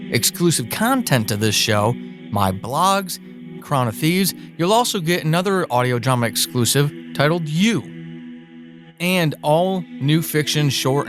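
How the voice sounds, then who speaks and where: A man hosting a podcast, speaking in an encouraging way straight to his listeners. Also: fairly high pitch. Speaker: podcast host. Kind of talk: conversational interview with promotional narration.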